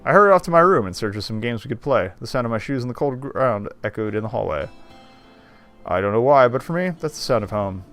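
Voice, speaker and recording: A man's voice: mid-range voice, animated, quick pace, a bit bright, soft background music. A man reading game text aloud and adding wry, casual commentary as a streamer.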